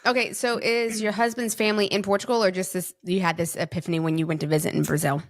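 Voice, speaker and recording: A woman's voice: fairly low pitch, little pitch movement, fast talker, no room sound, clean studio sound. A woman hosting a podcast, asking questions and responding in an informal, conversational interview style. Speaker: podcast host. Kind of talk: casual interview chat.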